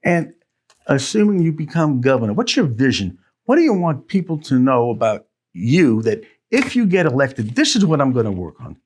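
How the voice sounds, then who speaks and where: A male interview host with a lively, rising and falling voice. He asks his questions in a friendly, conversational way and keeps the exchange moving. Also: mid-range voice, normal speed, a little hiss or hum. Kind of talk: interview hosting.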